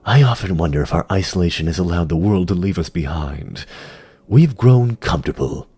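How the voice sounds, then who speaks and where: A man playing a grave giant spider lord commander in a fantasy audio drama, speaking in a slow, measured, brooding way. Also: low voice, lively intonation, mellow, slightly rough, heavily acted.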